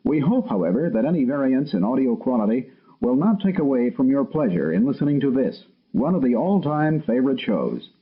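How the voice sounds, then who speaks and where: Measured announcer with a husky voice; tinny, narrow lo-fi recording. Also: male voice, mid-range voice, big pitch swings. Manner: measured, formal. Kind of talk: formal radio disclaimer. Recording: thin phone-like old radio.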